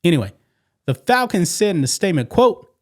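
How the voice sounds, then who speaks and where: A man's voice: high-pitched, big pitch swings, brisk, darkish tone. A man hosting a sports commentary show, giving casual opinions in a conversational way with rhetorical questions. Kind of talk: casual opinion commentary on sports news, reading quoted statements.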